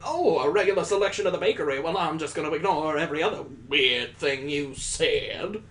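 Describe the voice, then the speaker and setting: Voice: mid-range voice, lively intonation, brisk, fairly bright tone. A gaming host giving playful, incredulous commentary with some swearing while reading a visual novel aloud.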